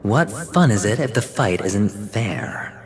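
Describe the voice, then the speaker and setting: Game lead: young gambler, fairly low, cool and dismissive, defiant, over clear music. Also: male voice, lively intonation, slow pace. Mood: cocky defiance. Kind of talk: video game voice acting.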